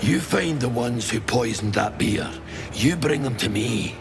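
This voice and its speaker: A man's voice: mid-range voice, expressive, measured pace, vocal fry, over music. A man playing a grim, vengeful boss in a video game, commanding and menacing. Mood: cold menace. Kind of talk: video game character acting.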